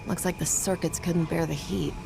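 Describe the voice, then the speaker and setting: A woman's voice: on the low side, fairly even intonation, normal speed, creaky. A woman playing a confident, blunt young female test pilot in a video game cutscene, with a casual, matter-of-fact delivery. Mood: easy, focused. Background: music.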